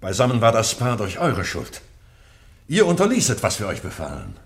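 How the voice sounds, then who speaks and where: A man's voice: on the low side, huge pitch swings, normal speed. An actor playing a wrathful, tyrannical aging king and father in a radio play, commanding and scolding. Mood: bitter reproach. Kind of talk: classic German radio play acting.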